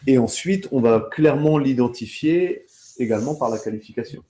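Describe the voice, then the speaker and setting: A man working as a sales trainer, explaining step by step in a conversational way, often pausing mid-phrase to rephrase. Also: mid-range voice, lively intonation, warm tone.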